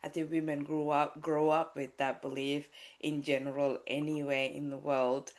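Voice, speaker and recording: A woman's voice: deep voice, flat delivery, moderate pace. A woman from Sri Lanka, a life coach, telling her personal story candidly in a podcast interview, with many restarts and fillers. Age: adult with a child.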